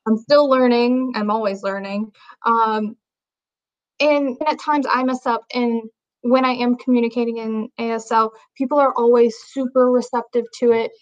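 A woman's voice: fairly high pitch, fairly even intonation, moderate pace. A young adult woman, a guest who teaches sign language, talking casually and rambling with lots of "like" and restarts. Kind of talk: casual conversation. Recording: dull lo-fi audio.

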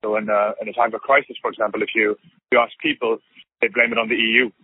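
A man's voice: fairly low pitch, flat delivery, quick pace, husky, no room sound. A man, a law researcher, answering interview questions on a phone call in a thoughtful way, with many fillers.

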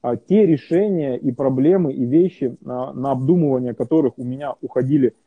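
A man speaks haltingly, stopping often to restart his sentences. His voice comes through husky and thin, like a phone call. The sound is dry, with no room around it. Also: mid-range voice, dry recording. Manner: hesitant, many fillers and restarts. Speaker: interview guest. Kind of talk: casual conversational interview. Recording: tinny phone-like line.

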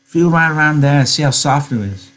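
Man putting on a high, vain young farm wife. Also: lively intonation, normal speed, a bit bright. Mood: complacent, chatty. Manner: chatty, informal rustic speech.

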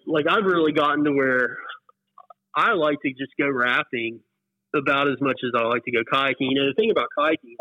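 A man's voice: medium pitch, fairly quick, bright, forceful tone, dry recording. An American man in his forties talks casually as an interview guest, reminiscing at an easy conversational level. His voice comes through a narrow, phone-like connection with very little room sound. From West Virginia, US. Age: 40s. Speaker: interview guest, whitewater paddler. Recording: muffled phone-like line, dry.

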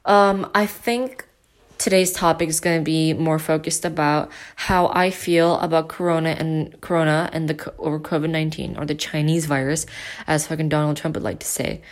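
An eighteen-year-old woman hosting her own casual podcast from home, with a deep, loud voice, rambling with lots of fillers and restarts. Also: steady tone, moderate pace. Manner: rambling, filler-heavy, self-interrupting. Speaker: podcast host. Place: at home with family.

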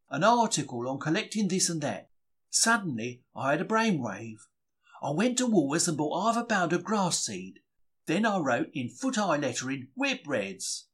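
A man's voice: a little high, a bit bright. A man reading a humorous diary aloud in a colloquial, characterful storytelling manner. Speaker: audiobook reader.

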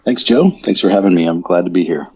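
Man, American, middle-aged, deep voice, husky, dry muffled audio, rambling podcast guest. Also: quick pace, crowd noise. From Colorado, USA. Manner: relaxed, rambling, self-correcting with frequent ums. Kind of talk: conversational podcast interview.

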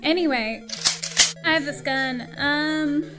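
A woman's voice: sits high, takes it slowly, over loud music. A woman playing a brash, tomboyish young princess in a comic radio play, talking casually and offhand.

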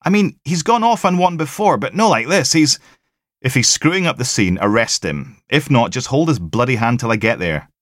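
A man voicing an irritable, sweary police detective with a Scottish accent and a gruff, impatient manner, in an audiobook. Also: medium pitch, very animated, moderate pace, a bit bright, dry recording, studio quality, pitched above the performer's own voice. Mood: exasperated.

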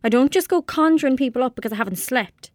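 A woman's voice: high-pitched, expressive, fast talker, no echo. Lonely irish country girl in a radio play, blunt and dreamy, very dry close sound. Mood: prickly, sarcastic. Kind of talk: audio drama acting.

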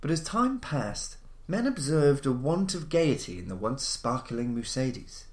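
A man reading gothic horror stories as a podcast narrator, with a formal, measured, dramatic storytelling delivery. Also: mid-range voice, very animated. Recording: roomy, echoing voice recording.

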